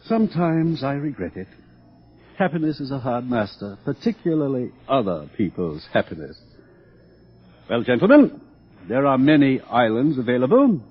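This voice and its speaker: A man's voice: medium pitch, big pitch swings, normal speed, husky. A man playing an urbane, smug older world controller in a theatrical radio drama, pompous and patronizing as he lectures. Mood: reflective, lecturing. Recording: dull, lo-fi radio drama recording.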